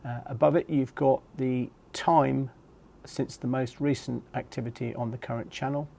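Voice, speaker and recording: A man's voice: mid-range voice, steady tone, moderate pace, vocal fry, mellow. A man in his fifties explaining products in an informal, matter-of-fact overview, as a presenter.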